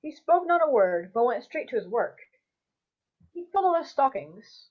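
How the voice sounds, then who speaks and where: A high-voiced young storyteller played by a young woman recounts a Christmas Eve visit as an earnest parent in a radio play. The delivery is dramatic and full of wonder, captured in slightly muffled video call sound. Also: big pitch swings, moderate pace, breathy edge, heavily acted. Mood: storytelling. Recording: dull video call audio.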